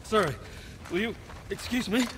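An actor playing a nervous, fussy dwarf craftsman in a video game, halting and apologetic. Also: sits high, long reverb tail, heavy background noise, natural voice. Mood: anxious, apologetic. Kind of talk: video game cutscene acting.

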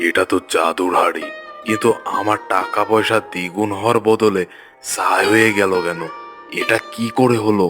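A man's voice: fairly low pitch, fairly even intonation, moderate pace, lightly acted. A man voicing a greedy, domineering village landlord in a cartoon fairy tale, with a bossy, pompous manner. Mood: distraught, accusing. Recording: music behind the voice. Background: music.

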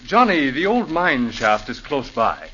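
A smooth, scheming murderer in a 1940s radio drama. His bright, tense voice leaps all over in pitch as he threatens. The sound is dull and lo-fi with faint hiss. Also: sing-song, a little slow. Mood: menacing. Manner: silky, menacing.

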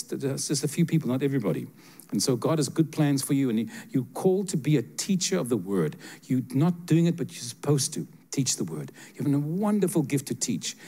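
An older man preaches to a seated congregation in a church sanctuary, talking straight to individual listeners. His handheld mic picks up a voice of middling pitch that moves between earnest prophecy and light teasing jokes. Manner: animated, joking, direct address to listeners. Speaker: guest preacher giving prophetic words.

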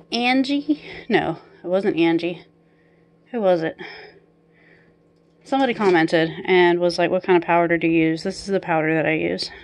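A woman in her thirties chatting casually as she walks through her groceries in her kitchen at home, with plenty of ums and quick self-corrections. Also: on the low side, a little hiss or hum. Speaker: vlogger and mother. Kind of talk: casual grocery haul walkthrough.

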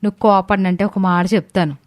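మహిళ నటించిన ఆరా తీసే పొరుగింటి పెద్దావిడ. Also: female voice, mid-range voice, steady tone, brisk, a little mellow, no echo, studio quality, natural voice. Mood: prying. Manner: prying, colloquial. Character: nosy, tactless older neighbourhood gossip woman.

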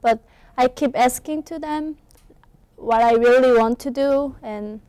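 A young woman from South Korea speaking English with a Korean accent, answering questions as a student guest in a small college TV studio. She talks in halting, simple phrases and laughs softly often. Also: sits high, dry recording. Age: young adult. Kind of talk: studio interview. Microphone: lapel mic.

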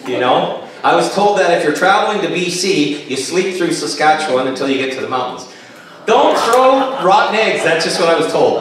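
The sound is very reverberant, picked up from a distance in a chapel. A Canadian man in his late fifties gives a sermon built on personal anecdotes. His voice sits a little high for a man, and his delivery is folksy and joking. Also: fairly bright tone, a little hiss or hum. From Kitchener, Ontario, Canada. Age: late 50s. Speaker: pastor. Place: chapel, on stage at a pulpit. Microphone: stand mic over speakers, recorded by far camera. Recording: very echoey chapel, distant camera pickup.

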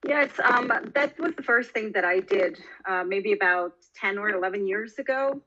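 A woman from Bosnia in her forties speaks in a bright, clear tone, pausing often with little fillers as she thinks. The sound is a bit dull and lo-fi, as if it came in over a video call. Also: mid-range voice, slight background noise. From Bosnia and Herzegovina. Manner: thoughtful, many fillers. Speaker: guest, language teacher. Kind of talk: podcast interview.

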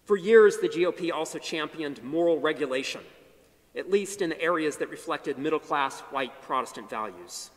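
Middle-aged man lecturing in a reverberant chapel. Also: animated. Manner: measured, emphatic phrase starts falling to low endings. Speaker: history lecturer. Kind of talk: academic lecture. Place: large chapel stage. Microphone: podium mic. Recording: long hall reverb.

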